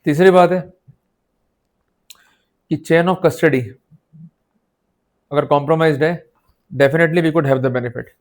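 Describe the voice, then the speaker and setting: A man's voice: a little high, steady tone, takes it slowly, long pauses. An Indian man giving an explanatory lecture with an Indian accent, instructive and emphatic, putting short rhetorical questions to his students and switching between two languages. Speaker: law lecturer. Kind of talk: explanatory lecture mixing Hindi and English, addressing students.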